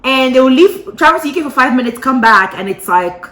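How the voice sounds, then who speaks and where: A woman vlogger casually telling a gossipy story to her viewers, animated and full of playful asides. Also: high-pitched, a little fast. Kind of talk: casual gossip chat to camera. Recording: roomy echo.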